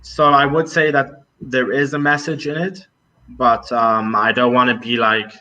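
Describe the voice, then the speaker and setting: A man's voice: medium pitch, level tone, unhurried, bright, forceful tone, lo-fi sound. A young man talking casually as a podcast guest, hesitant, with many fillers and restarts. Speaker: podcast guest, travel video maker. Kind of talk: casual conversation.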